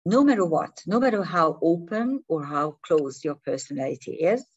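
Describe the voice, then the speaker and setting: Low-voiced woman, hesitant non-native speaker. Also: sing-song, normal speed, husky, dull audio. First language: not English. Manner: hesitant, restarting and searching for words. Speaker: spiritual teacher. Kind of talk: unscripted talk to viewers.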